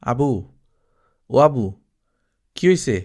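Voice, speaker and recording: পুরুষ কণ্ঠে গ্রাম্য বুড়ি দাদির খোঁটা দেওয়া কথা. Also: male voice, mid-range voice, drawn-out words, darkish tone. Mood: nagging, smug. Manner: rustic dialect, nagging questions. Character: ghostly old Bengali grandmother chewing betel, scolding, smug.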